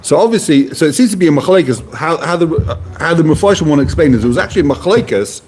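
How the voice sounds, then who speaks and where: A man's voice: on the high side, lively intonation, quick pace, darkish tone, slightly rough. A man giving a casual Talmud class, explaining Hebrew and Aramaic terms in plain conversational English. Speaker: Talmud teacher.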